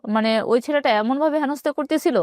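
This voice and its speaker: A young woman from Bangladesh telling a painful personal story as a radio guest, speaking hesitantly with many pauses. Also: high voice, a little mellow, dry recording, clean studio sound. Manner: hesitant, halting, with many fillers and restarts. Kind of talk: personal confession.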